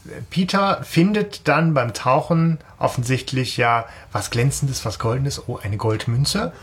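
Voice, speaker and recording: A man's voice: mid-range voice, a little slow, slight background noise. A man retelling a story in casual podcast chat, colloquial and wry. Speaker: podcast host.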